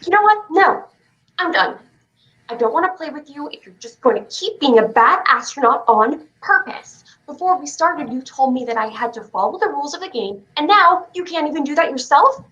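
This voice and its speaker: A woman's voice: high voice, animated, normal speed, a bit bright, dull audio. A woman playing a stubborn, dreamy child who plays astronaut, in a staged play reading. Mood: fed up, scolding.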